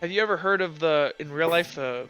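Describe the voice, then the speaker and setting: A man improvising narration as game master of a tabletop game, casual and halting with lots of fillers. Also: a little high, fairly bright tone, faint music behind, rough recording.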